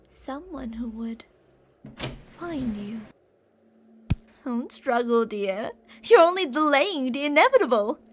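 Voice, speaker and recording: A woman's voice: high-pitched, pushed and bright, exaggerated voice. A woman playing an obsessive older female kidnapper in an ASMR roleplay, cooing and possessive, doting yet menacing, with theatrical delivery. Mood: sinister whisper. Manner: cooing, possessive, theatrical, with pet names and whispered phrases.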